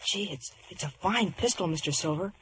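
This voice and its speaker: A man's voice: high voice, lively intonation, normal speed. A young male actor playing an eager, awestruck cabin boy, hesitant and polite, in an old radio drama. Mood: awed, eager. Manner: hesitant, polite, breathless repetitions. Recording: old radio broadcast, slight noise.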